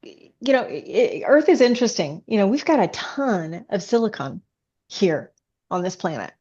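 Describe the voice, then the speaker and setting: A woman's voice: medium pitch, sing-song, moderate pace, darkish tone, husky, highs cut off. A woman chatting as an interview guest, an author explaining things enthusiastically in a casual way. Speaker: guest, science fiction author.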